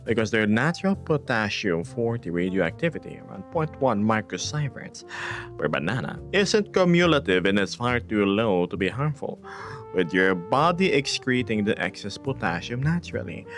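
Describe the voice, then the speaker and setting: A low-pitched man chats on his live stream in a relaxed, playful way. His voice swings widely between quiet, breathy asides and bright, eager bursts. Faint music plays underneath. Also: normal speed. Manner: laid-back and playful, chuckling, shifting between hushed asides and upbeat bursts. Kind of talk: casual live-stream chat, reading viewer messages aloud.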